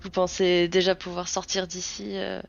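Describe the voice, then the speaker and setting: A woman's voice: fairly low pitch, monotone, moderate pace, vocal fry. A woman voicing a shaken, injured young woman who stammers and falters, on a dull lo-fi mic.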